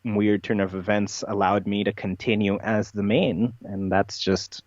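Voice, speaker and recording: A man's voice: lowish voice, flat delivery, a little slow, darkish tone, no room sound, highs cut off. A man talking as a podcast guest in a conversational, rambling way, making absurd claims matter-of-factly. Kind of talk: conversational interview talk, playful tongue-in-cheek persona.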